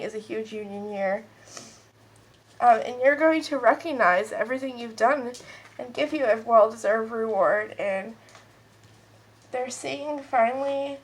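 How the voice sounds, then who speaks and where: A woman's voice: on the high side, poor audio. A woman with a stuffed-up nose giving a casual, rambling pick-a-card tarot reading.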